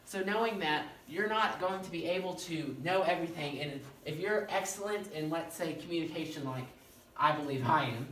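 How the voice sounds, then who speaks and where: A male professor with a fairly high-pitched voice, giving an animated classroom lecture and often checking in with "okay?". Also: normal speed. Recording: echoey room, mic far off, faint noise.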